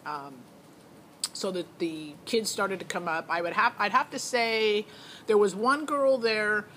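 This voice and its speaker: A woman's voice: medium pitch, measured pace, pushed and bright. A middle-aged woman telling a personal story in a casual, candid, opinionated way. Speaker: parent recounting a child's audition. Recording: noticeable background noise.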